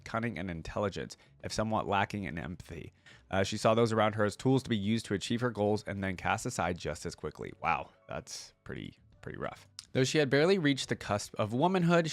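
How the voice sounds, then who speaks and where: A man's voice: medium pitch, dry recording. A man hosting a reaction video, talking informally and thinking aloud, switching between casual commentary and reading aloud.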